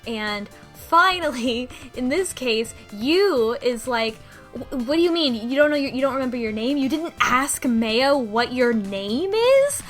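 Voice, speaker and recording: A woman co-hosting a casual podcast, recapping a video game in an animated, amused way. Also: high voice, unhurried, faint music behind. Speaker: podcast co-host.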